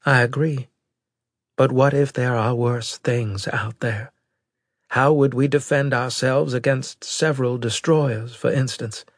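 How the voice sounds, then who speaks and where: A man's voice: mid-range voice, steady tone, measured pace, dry recording, clean studio sound, voice pushed up. A man voicing an earnest, loyal female military brigadier in an audiobook, worried but resolute, with formal, emphatic speech. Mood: doubtful. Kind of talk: audiobook character dialogue.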